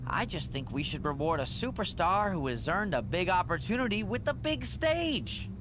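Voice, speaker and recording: A man's voice: high-pitched, big pitch swings, moderate pace, background noise. An actor playing a nervous, fawning male wrestling manager who rambles anxiously in a video game cutscene. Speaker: wrestling show official.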